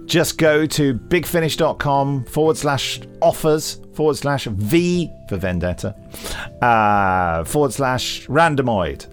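A man's voice: mid-range voice, expressive, takes it slowly, soft background music. A man chatting casually as a podcast host. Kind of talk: casual chat.

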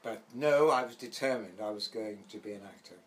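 A man's voice: on the low side, brisk, slight background noise. An older man from Wales with a light Welsh accent, reminiscing as a guest in an interview and acting out the voices in his stories. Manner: rambling anecdotal storyteller, acts out quoted voices. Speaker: actor guest.

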